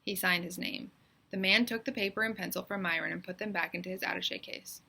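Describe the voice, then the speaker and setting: A woman narrates a children's story like a teacher reading aloud. Her voice sits a little low but sounds bright and forceful. The delivery is expressive, deliberate and suspenseful. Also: gravelly, husky.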